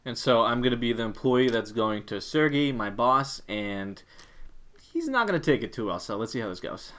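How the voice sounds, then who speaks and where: A man's voice: medium pitch, sing-song, normal speed, a bit bright. A man co-hosting an instructional video, giving informal advice straight to the viewers as a career coach.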